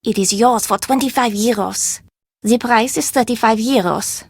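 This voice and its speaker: An actress playing a proud, stubborn street vendor in a video game, haggling emphatically in formal phrasing. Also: female voice, on the high side, moderate pace, slightly rough, no room sound, clean studio sound. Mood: proud, insistent. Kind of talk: video game character dialogue.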